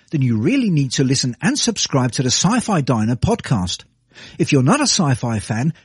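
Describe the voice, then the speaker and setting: A man's voice: a little high, breathy edge, no echo, studio quality. A British man reading a cheerful, self-mocking podcast promo. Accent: British English. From Britain. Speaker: podcast host giving a promo. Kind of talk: scripted promo read.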